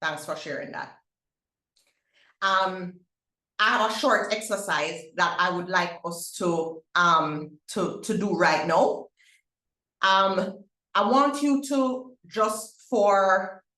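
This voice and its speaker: A woman's voice: lowish voice, fairly bright tone, roomy sound, low-quality recording. A middle-aged Jamaican woman hosting a parents' webinar, upbeat, with many fillers and restarts. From Barbados. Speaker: webinar host. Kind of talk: webinar info session.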